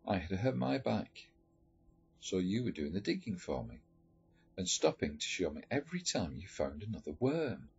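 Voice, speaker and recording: Deep, husky male storyteller. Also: very animated, normal speed, a little mellow. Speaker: storyteller reading aloud. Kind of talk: expressive read-aloud of a letter story. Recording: dull lo-fi recording with music behind. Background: background music.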